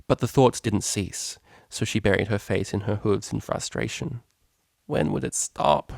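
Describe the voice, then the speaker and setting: A man's voice: lowish voice, measured pace, creaky, dry recording, clean studio sound. A man reading a story aloud as narrator, in scripted prose. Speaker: narrator, fan fiction reader.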